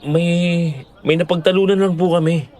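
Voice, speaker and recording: A man's voice: high-pitched, level tone, normal speed, natural voice. A man playing a responsible young boyfriend in an audio drama, earnest, patient and placating, with a Filipino accent. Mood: apologetic. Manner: earnest, pleading, gentle.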